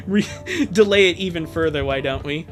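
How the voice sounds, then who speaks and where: A man's voice: fairly high pitch, sing-song, moderate pace, a bit bright, soft background music. A man streaming casually, giggly and joking, often breaking into laughter and mild swearing while he reads and comments. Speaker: streamer reading a fan comic aloud. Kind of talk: casual live stream chat and joking live reading.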